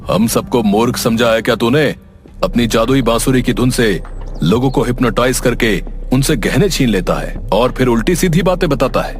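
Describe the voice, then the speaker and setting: A man voicing a stern, bullying policeman with a curt, commanding manner in an animated story. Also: mid-range voice, normal speed, natural voice. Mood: stern, threatening. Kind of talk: Hindi animated moral story dialogue.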